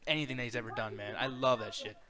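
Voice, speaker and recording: A man's voice: medium pitch, fairly even intonation, rapid-fire, sharp tone. A man bantering casually as co-host of a group chat, slangy, rambling and very profane.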